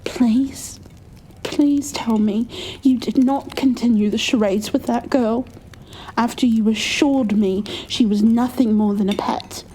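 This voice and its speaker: A woman playing an elegant, passionate vampire noblewoman in an ASMR roleplay, with a formal, earnest and deliberate delivery. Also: fairly high pitch, steady tone, dark tone, slight background noise, rough recording. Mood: pleading.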